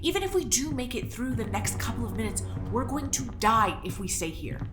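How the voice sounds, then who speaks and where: A woman's voice: a little high, very animated, brisk. A woman playing a fiery, suspicious escapee in an audio drama, with a biting, sarcastic manner. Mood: sarcastic, distrustful. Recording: echoing room, music behind.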